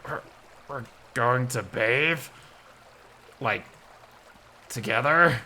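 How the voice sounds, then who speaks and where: A man's voice: medium pitch, very slow, sharp tone, a little hiss or hum, exaggerated voice. A man voicing a naive, flustered young male bat who stammers in disbelief and embarrassment in a visual novel reading. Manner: stammering, incredulous, easily embarrassed.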